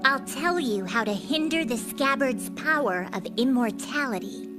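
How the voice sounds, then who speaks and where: An actress playing a calm, mysterious lady of the lake in a video game, measured and a little coy. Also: high-pitched, over music. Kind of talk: video game cutscene acting.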